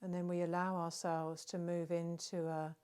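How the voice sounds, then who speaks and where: A woman leading a guided relaxation, speaking gently and soothingly, with long unhurried pauses between phrases. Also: fairly low pitch, level tone, clear, resonant tone. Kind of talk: guided meditation narration.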